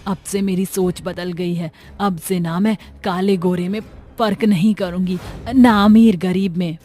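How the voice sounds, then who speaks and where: A woman's voice: lowish voice, fairly even intonation, a little slow, dark tone, natural voice. A woman voicing a frightened, remorseful mother-in-law in a cartoon horror story, pleading for forgiveness. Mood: ashamed, pleading.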